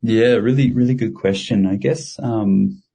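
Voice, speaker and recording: A man answering interview questions in a relaxed way, with many fillers and restarts. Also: fairly low pitch, unhurried, vocal fry, husky. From Australia. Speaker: guest, studio co-founder.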